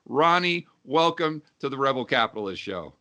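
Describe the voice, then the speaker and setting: A man's voice: medium pitch, animated, moderate pace, bright, forceful tone. A man hosting a casual interview show, asking enthusiastic follow-up questions.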